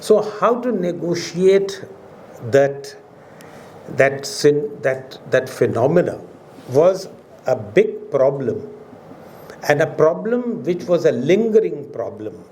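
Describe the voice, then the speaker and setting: Measured Indian man lecturing formally. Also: a little high, big pitch swings, a little mellow, slight background noise. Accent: Indian English. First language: Urdu. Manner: measured, oratorical, with emphatic rises on key words. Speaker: professor giving a public lecture. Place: museum auditorium.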